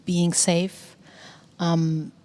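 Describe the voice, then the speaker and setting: A woman sharing her thoughts in a quiet group conversation, her voice slightly low and mellow. She pauses often, and her voice tends to fade softly as she trails off. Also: flat delivery. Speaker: discussion participant. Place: exhibition hall in a museum.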